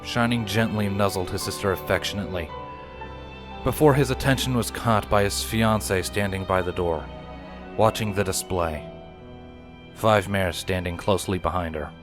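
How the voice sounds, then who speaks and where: A man's voice: low voice, moderate pace, music in the background. A man giving a scripted narration of a story as its reader. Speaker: fan fiction narrator and channel host.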